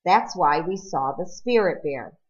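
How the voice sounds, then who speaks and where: Storybook teen boy, female reader: sorry, sincere, emphatic, low-fi sound. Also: animated, a little slow, highs cut off. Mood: earnest. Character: remorseful teenage boy, earnest, seeking forgiveness.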